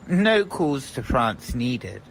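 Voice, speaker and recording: A woman's voice: extremely low pitch, sing-song, takes it slowly, vocal fry, slight background noise, full character voice, rough recording. A woman playing a childlike, dreamy, rambling eccentric in an audio drama.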